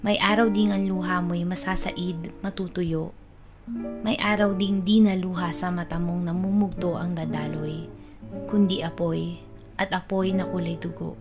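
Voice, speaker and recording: Low-voiced Filipino woman reciting over guitar music. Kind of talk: poetry recitation. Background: plucked guitar music.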